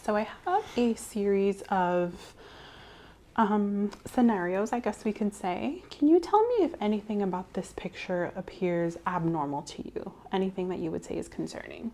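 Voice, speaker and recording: Soft, mellow woman giving a gentle exam. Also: medium pitch, moderate pace. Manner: gentle, reassuring, step-by-step instructing. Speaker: clinician in a medical exam role-play. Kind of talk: soft-spoken ASMR medical role-play. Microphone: clip-on mic.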